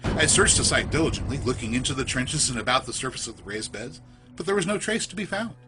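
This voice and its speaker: A man narrates a creepy tale in a bright, clear-cut voice that rises and falls with a lot of expression. His delivery is literary and suspenseful, like a scripted horror podcast, with faint music behind him. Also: medium pitch, expressive. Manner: literary, measured, suspenseful storytelling. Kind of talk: scripted first-person horror story narration.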